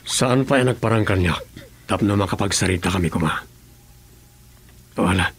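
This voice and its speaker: A man's voice: low-pitched, moderate pace, husky. A man acting a grave, watchful governor under threat in a radio drama. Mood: brooding.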